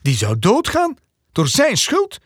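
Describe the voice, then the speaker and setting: A man narrating a scripted fairy tale as a storyteller, with a husky, breathy voice. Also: high-pitched, huge pitch swings, slow pace, no room sound. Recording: dry, close, faint music behind.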